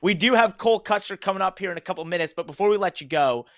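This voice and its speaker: A man's voice: sits high, rapid-fire, a bit bright. A man hosting a casual live motorsport interview with informal, conversational questions. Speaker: host of a motorsport show.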